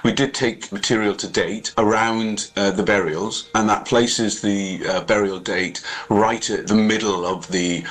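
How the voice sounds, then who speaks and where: A man's voice: lowish voice, steady tone, unhurried, hardly any pauses, a bit bright. A male professor in an unscripted radio interview, hesitant as he thinks his explanation through aloud.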